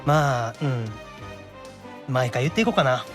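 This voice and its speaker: A man's voice: medium pitch, lively intonation, moderate pace, fairly bright tone, faint music behind. A man hosting a podcast, switching between scripted intros and casual, teasing banter. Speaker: podcast host, voice actor.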